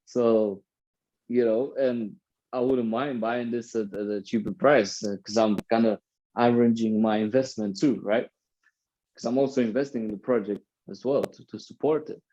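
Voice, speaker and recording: A man's voice: mid-range voice, fairly even intonation, moderate pace, warm tone, muffled recording. A man from Romania chatting casually, earnest and rambling, often tagging "right?" and "you know". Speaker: NFT community host. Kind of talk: casual chat.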